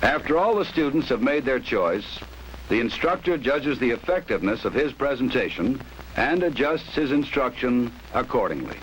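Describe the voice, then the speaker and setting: An American man reading a formal, measured documentary narration for an instructional film. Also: mid-range voice, lively intonation. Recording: noisy 1960s training film soundtrack.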